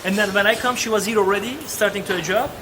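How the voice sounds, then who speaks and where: Turkish-accented man, thirties, high voice, halting candid storytelling, loud chatter behind. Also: fairly even intonation. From Turkey. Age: 30s. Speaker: podcast guest. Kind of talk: casual interview chat. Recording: noisy ambient background.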